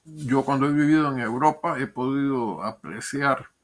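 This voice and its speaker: An older man, a historian and diplomat, giving measured, thoughtful interview answers with pauses and repeated words. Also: mid-range voice.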